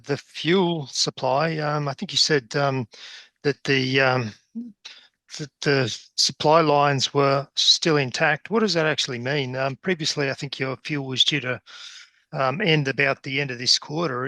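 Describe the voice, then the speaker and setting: A man's voice: a little high, pushed and bright, dry recording. A male analyst putting questions on an investor call in tentative, broken phrases.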